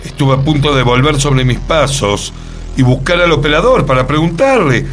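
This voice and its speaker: A man's voice: on the high side, animated, normal speed, a bit bright, faint music behind. A man acting in radio theatre as an earnest, mysterious co-host, with a Buenos Aires accent and a theatrical, suspenseful delivery. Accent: Argentine, Buenos Aires. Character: earnest, mysterious male co-host recounting strange events.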